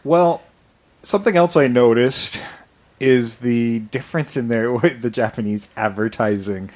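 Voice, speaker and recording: A man hosting a podcast, easygoing and jokey, laughing his way through sentences. His voice sits at an ordinary middle pitch. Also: a little slow, darkish tone. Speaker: podcast host. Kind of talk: casual podcast chat.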